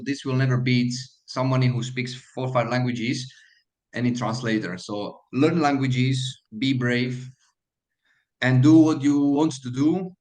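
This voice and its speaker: A man from Slovakia speaks English with a Slovak accent over a video call, in a mid-pitched voice. He talks in halting runs, full of fillers and restarts, earnest and encouraging. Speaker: guest speaker, hotel manager. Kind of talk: online Q&A talk.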